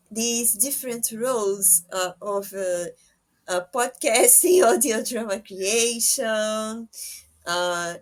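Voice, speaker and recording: A woman hosting an interview podcast, asking questions conversationally with halting, hesitant phrasing. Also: mid-range voice.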